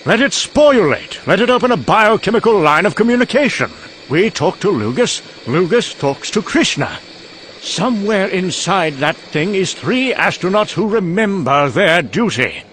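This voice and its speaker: Casting: male actor as vain, unhinged scientist, rambling and excitable, very lively pitch swings, motion-comic drama, noisy background. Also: moderate pace. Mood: manic, urgent. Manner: rambling, excitable, self-congratulating. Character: mad, vain, rambling British male scientist narrating doom.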